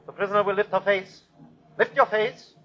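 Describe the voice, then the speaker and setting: A man acts out a ruthless German army captain in an old radio play. He declaims and commands in clipped, fanatical style. The recording is dull and low in fidelity, as vintage broadcasts are. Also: fairly even intonation, moderate pace, a little hiss or hum, close to an everyday voice. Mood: cold, commanding. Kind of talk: old-time radio drama acting.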